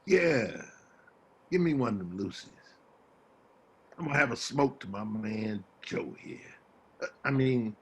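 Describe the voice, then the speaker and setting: Laid-back street guy, low, husky, slow, casual, dramatic reading. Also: animated, a bit bright, full character voice. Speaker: actor.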